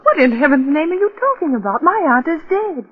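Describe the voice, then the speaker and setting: An actress playing a high-strung, grieving niece in a 1940s radio melodrama, incredulous and distressed. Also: female voice, sing-song, brisk. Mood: shocked, disbelieving. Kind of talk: 1940s radio drama acting. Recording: muffled, narrow-band old radio recording.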